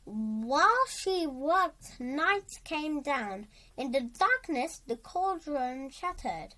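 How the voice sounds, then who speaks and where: High, animated young storybook reader. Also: poor audio. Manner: halting, stumbles and rereads words. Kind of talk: storybook read aloud.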